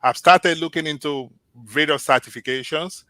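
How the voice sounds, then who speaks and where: एक शांत, स्पष्ट आवाज़ वाला नाइजीरियाई पुरुष उम्मीदवार. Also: male voice, a little high, pushed and bright, no room sound. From Nigeria. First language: Yoruba. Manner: earnest, measured, with restarts. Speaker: job candidate. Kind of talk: mock job interview answers.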